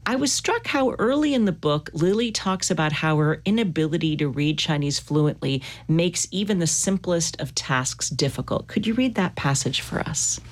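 A woman hosting a literary interview, putting questions in a composed, deliberate way. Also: low-pitched, lively intonation. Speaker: interview host.

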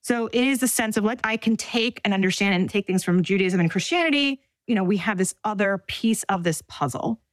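A woman in her forties from Staten Island, New York, telling her story in an interview in thoughtful, measured phrases with pauses. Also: medium pitch, dry recording, poor audio. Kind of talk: reflective personal storytelling.

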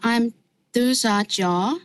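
Woman from Myanmar, slow careful English. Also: on the high side, dry recording, clean studio sound. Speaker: adult English learner.

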